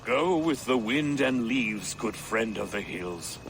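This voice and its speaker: An eccentric old male herbalist in a video game. He speaks slowly and theatrically, with archaic, mystical turns and a wide melodic range. Clear background ambience sits behind the voice. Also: mid-range voice, expressive, noisy background. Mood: delighted, friendly. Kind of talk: video game cutscene acting.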